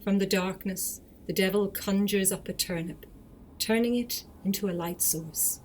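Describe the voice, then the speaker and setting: A woman's voice: medium pitch, moderate pace. Echoey female storybook narrator. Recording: echoey room, faint noise.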